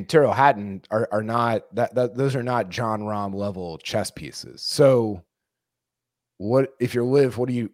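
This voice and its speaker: A man co-hosting a sports podcast, a bit hoarse, rambling with many restarts. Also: medium pitch, no echo, studio quality. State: losing his voice. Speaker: podcast co-host. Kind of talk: casual sports podcast chat.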